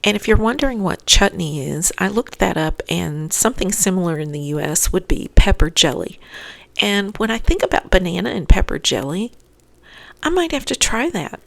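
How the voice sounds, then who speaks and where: A woman's voice: mid-range voice, very animated, moderate pace, vocal fry. A woman narrating a scripted episode recap as host, adding chatty asides to listeners.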